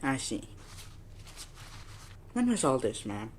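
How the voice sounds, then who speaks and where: A woman playing a weary, grumbling, indifferent police desk sergeant in an old-fashioned radio drama, flat and perfunctory. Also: very deep voice, slow, full character voice. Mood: bored. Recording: noisy radio play audio.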